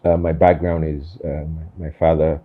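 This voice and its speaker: A man hosting an interview, speaking thoughtfully and haltingly, with many fillers and restarts. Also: extremely low pitch, level tone, narrow, tinny audio. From Nigeria. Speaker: podcast host. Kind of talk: reflective conversational interview.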